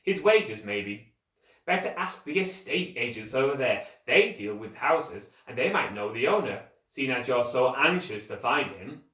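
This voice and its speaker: A man's voice: medium pitch, big pitch swings, a little slow, fairly bright tone. A man voicing a grumpy, sarcastic postman in a storybook, scornful and impatient with children. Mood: grudging. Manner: scornful, emphatic. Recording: roomy echo, distant microphone.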